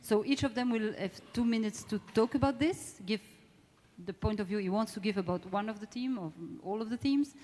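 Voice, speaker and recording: A woman moderates a conference debate in English with a Belgian French accent. Her voice is soft-edged and mellow, and she often searches for her words. The sound carries the long reverberation of a big hall. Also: mid-range voice. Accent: French-speaking Belgian. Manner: halting, searching for words in non-native English. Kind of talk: live panel introduction at a conference. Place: large indoor conference hall, amplified.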